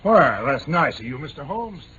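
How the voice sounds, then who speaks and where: Casting a plain-spoken American gentleman for an old radio play: slightly high, direct, muffled recording. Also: big pitch swings, moderate pace. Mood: polite. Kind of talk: 1950s-60s BBC radio drama acting. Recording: dull vintage radio recording, faint noise.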